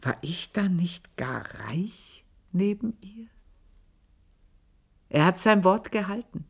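A bitter old housemaid. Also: female voice, lowish voice, expressive, slow pace, husky, full character voice. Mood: bitter confiding. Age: older. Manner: intense, confiding, scornful. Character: aging housemaid, bitter, proud, long in service. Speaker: narrator of her own story. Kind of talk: radio play monologue.

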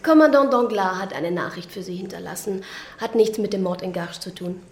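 Actress as policewoman, grave, wistful, medium pitch, quietly reproachful, echoing room, radio drama. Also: a little fast, lightly acted. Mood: informative. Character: somber, quietly reproachful female police colleague. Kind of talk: German radio crime drama acting. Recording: roomy, echoing radio play scene.

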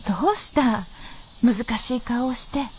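An actress as a lofty elder fox princess in a radio drama. Her voice sits high and she speaks slowly, with archaic, knowing superiority. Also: darkish tone, slight background noise, close to an everyday voice. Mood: knowing, grave. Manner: slow, archaic, superior speech. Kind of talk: Japanese radio drama acting.

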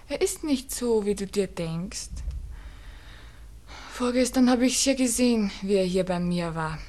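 A woman's voice: mid-range voice, darkish tone. An actress playing a lovesick, trusting young woman, pleading and earnest, with a Viennese accent in a 1960s radio drama. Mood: subdued, defensive.

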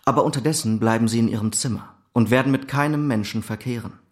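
A stern gentleman as an actor plays him in a radio drama, with a mid-pitched voice. He is composed and precise, laying down terms with firm authority. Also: quick pace, natural voice. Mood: cool authority. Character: stern, composed gentleman coolly cornering a culprit. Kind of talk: German radio drama acting.

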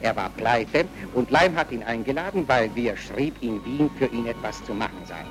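A man's voice: medium pitch, lively intonation, moderate pace, lightly acted. An actor playing a wry film narrator of post-war Vienna, chatting ironically as he tells the story. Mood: chatty, amused. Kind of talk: conversational scripted film narration. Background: loud music.

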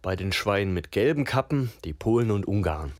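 Man voicing a grim detached narrator, very deep voice, terse, dry studio sound, radio play. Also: animated, moderate pace, dry recording, close to an everyday voice. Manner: terse, matter-of-fact. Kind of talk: radio drama narration in second person.

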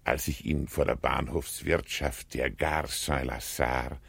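A man giving a deliberate literary storytelling performance as a radio play narrator. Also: extremely low pitch, fairly even intonation, creaky, fairly bright tone, breathy edge, dry recording.

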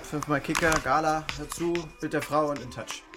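An actor playing a casual, slightly baffled delivery driver in a naturalistic radio drama. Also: male voice, fairly high pitch, fairly even intonation, moderate pace, music in the background, lightly acted. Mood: casual, bemused.

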